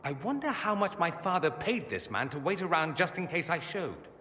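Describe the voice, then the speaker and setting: A proud young nobleman mage from a video game, theatrical and sarcastic. His bright, forceful voice swings widely in pitch and rings in a long hall reverb, articulate and defiant. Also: sing-song, normal speed, slight background noise. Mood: wry. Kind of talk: dramatic video game voice acting.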